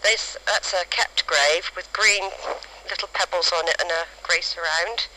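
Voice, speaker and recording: A woman recording halting, spontaneous field notes outdoors on a cassette recorder, describing things piece by piece. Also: on the low side, sharp tone. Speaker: amateur family historian recording an audio diary. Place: outdoors in a cemetery. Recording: faintly noisy amateur cassette recording.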